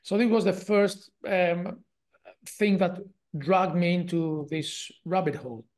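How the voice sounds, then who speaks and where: A man's voice: high voice, slow, a little mellow. A man in his forties from Greece with a strong Greek accent, a guest on an interview, talking in a hesitant way with many fillers and restarts over a video call. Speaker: guest researcher. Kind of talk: casual interview talk. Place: home office with shelves.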